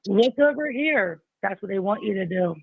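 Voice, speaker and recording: A man's voice: high-pitched, expressive, normal speed, gravelly. A man calling into a live show, insistent and rambling, talking over the host. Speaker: caller. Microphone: phone. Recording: phone line, dry.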